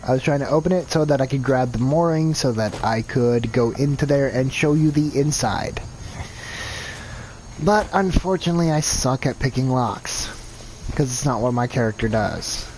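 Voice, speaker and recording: A man's voice: mid-range voice, moderate pace, darkish tone, a little hiss or hum, rough recording. A man streaming a video game live, chatting casually and thinking aloud in a rambling, self-deprecating way. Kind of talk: casual gameplay commentary.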